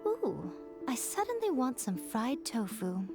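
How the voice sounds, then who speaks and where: An actress voicing a sly, playful female fox priestess in a game, coy and teasing with a lively voice. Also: fairly high pitch, measured pace, over music, exaggerated voice.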